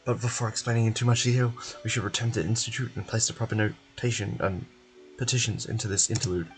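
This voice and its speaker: Casting: evasive male scientist-bureaucrat for a game man reading, mid-low everyday voice, officious and courteous, soft music behind. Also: fairly even intonation, lightly acted. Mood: formal, guarded. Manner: measured, polite, officious. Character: formal, evasive male research institute official in fantasy game.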